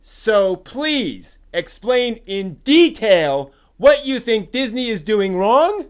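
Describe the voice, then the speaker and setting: A man's voice: high voice, sing-song, slow, clear, resonant tone, exaggerated voice. A man voicing an outraged, indignant anime fan in a dramatic reading, emphatic, combative and scolding. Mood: pointed demand. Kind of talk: dramatic reading of online posts.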